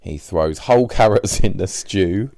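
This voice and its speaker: A man narrates a personal diary as an audiobook. His voice is extremely deep and soft-edged, with a dark, mellow tone. The sound is dry, with hardly any room around it. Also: a little slow, gravelly, no room sound.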